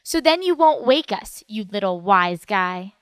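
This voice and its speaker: A woman's voice: mid-range voice, lively intonation, a little slow, fairly bright tone, smooth, no room sound, clean studio sound, voice pushed up. A woman voicing a bossy, teasing little girl in a storybook reading, sing-song and tattling.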